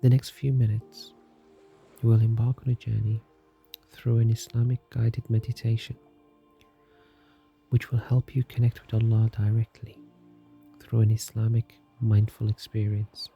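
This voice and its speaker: A man leads a guided meditation in a soft, soothing, scripted narration, calm and gentle, leaving long unhurried pauses. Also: lowish voice, vocal fry, highs cut off. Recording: music behind the voice. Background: soft music.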